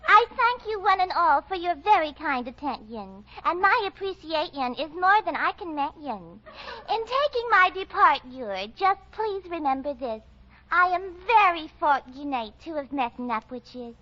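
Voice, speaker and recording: A woman's voice: extremely high pitch, very animated, moderate pace, pushed and bright, full character voice. A woman playing a prissy, affected amateur poet in 1940s radio comedy, prim and over-enunciated. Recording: old muffled radio recording, faint noise.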